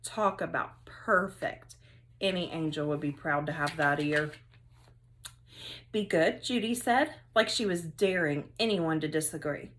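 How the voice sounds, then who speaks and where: A woman teacher reading a children's story aloud, expressive and with dramatic emphasis. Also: low-pitched, moderate pace.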